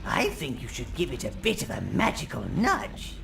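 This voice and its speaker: Creaky, wildly animated old minion advisor. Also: male voice, medium pitch, normal speed, noisy background, exaggerated voice. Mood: scheming, coaxing. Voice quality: creaky, gravelly. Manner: obsequious, theatrical servant addressing his master. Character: wizened old male minion advisor, fawning, gleefully evil. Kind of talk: video game cutscene acting. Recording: game music under the voice.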